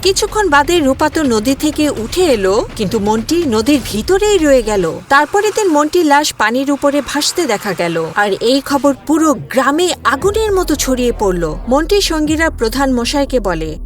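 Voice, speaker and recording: A woman's voice: high voice, sing-song, normal speed, hardly any pauses. A woman narrator reading a scripted cartoon fairy tale.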